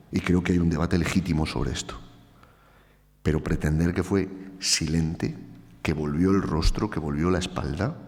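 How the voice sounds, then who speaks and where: A man's voice: sits low, darkish tone. A man from Spain with a Spanish accent giving an earnest, emphatic public lecture. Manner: earnest, emphatic, repeats phrases for stress. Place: large lecture hall. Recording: reverberant hall.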